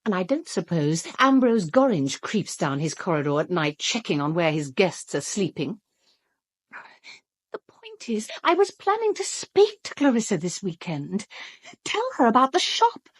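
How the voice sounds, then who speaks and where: An actress playing a sharp, scheming, outspoken British woman with a brisk sardonic manner in a radio drama. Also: medium pitch, sing-song, slightly rough, no echo. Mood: scheming, persuasive. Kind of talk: full-cast radio drama acting.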